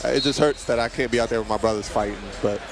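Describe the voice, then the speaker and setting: A man's voice: medium pitch, steady tone, racing, over music. A young man, an injured college basketball player, giving an earnest courtside interview and saying "you know" a lot. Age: young adult. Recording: loud arena crowd noise. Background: crowd and game noise.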